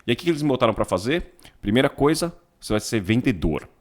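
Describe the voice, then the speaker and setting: A man's voice: mid-range voice, big pitch swings, fast. A man in his forties from São Paulo with a São Paulo accent, a company CEO chatting relaxedly as an interview guest, often adding tag questions. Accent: São Paulo Portuguese. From São Paulo, Brazil. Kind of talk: casual interview storytelling.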